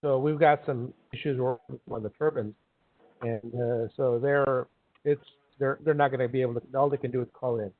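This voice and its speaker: A man's voice: mid-range voice, steady tone, moderate pace, no room sound. A man giving an informal work update as a participant on a conference call. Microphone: conference call line. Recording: dry, narrow phone-line sound.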